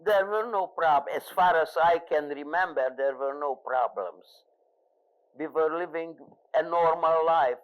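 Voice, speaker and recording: An older woman with a Hungarian accent, a native Hungarian speaker, answering questions as a guest speaker in a live interview, pausing often and searching for words. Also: low voice, poor audio. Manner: halting, searching for words with frequent fillers. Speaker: Holocaust survivor, guest speaker.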